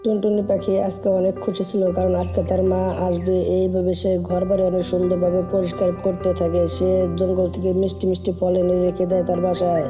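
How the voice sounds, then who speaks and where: Female cartoon storyteller, quick and fairly flat, reading over loud music. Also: on the low side. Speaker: story narrator. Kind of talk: scripted children's cartoon narration.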